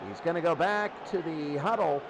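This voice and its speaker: High-pitched male football announcer over loud noise. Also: moderate pace. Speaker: play-by-play sports announcer. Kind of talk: American football radio commentary. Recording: loud steady noise behind the voice.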